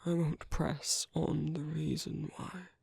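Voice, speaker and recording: A very close, dry ASMR recording of a tender British vampire priest, acted by a man. His voice is extremely breathy and frayed with creak, often sinking to a whisper. He speaks slowly and carefully, with old-fashioned courtesy and a fatherly gentleness. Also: a little high, flat delivery, no room sound, exaggerated voice. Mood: grave, tender. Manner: gentle, hesitant, formal and courteous. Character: soft-spoken, caring British vampire priest, paternal and formal. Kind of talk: soft ASMR roleplay, intimate and slow. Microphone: close microphone, dry.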